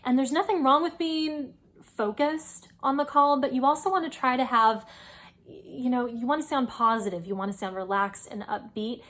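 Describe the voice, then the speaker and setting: A woman's voice: fairly high pitch, a bit bright. A woman hosting an informal advice video, explaining things in a conversational, encouraging way. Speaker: host giving career advice. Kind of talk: informal instructional video talk.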